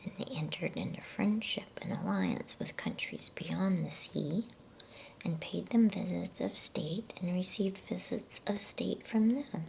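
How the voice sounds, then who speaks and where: A woman reading a storybook in a soft, breathy ASMR whisper, with a soothing, unhurried manner and casual delivery. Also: lowish voice, low-quality recording. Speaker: ASMR bedtime story reader.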